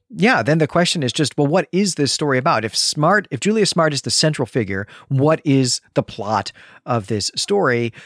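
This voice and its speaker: A man's voice: medium pitch, fairly bright tone, no room sound, clean studio sound. A man co-hosting a podcast, discussing literature conversationally and reflectively, thinking through questions aloud. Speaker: podcast co-host.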